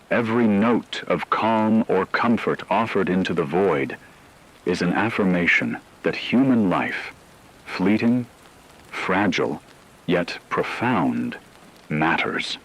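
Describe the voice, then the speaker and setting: A man playing a solemn radio broadcaster at the world's end, speaking in a measured, reflective way in a scripted radio drama. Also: low-pitched, husky, exaggerated voice, low-quality recording.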